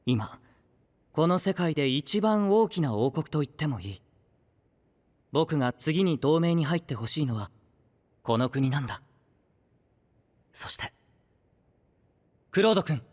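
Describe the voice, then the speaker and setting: An actor playing a gentle, idealistic young knight who leads an alliance, speaking softly and earnestly in anime audio drama style. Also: male voice, fairly high pitch, animated. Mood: gently persuasive. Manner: soft-spoken, earnest, measured.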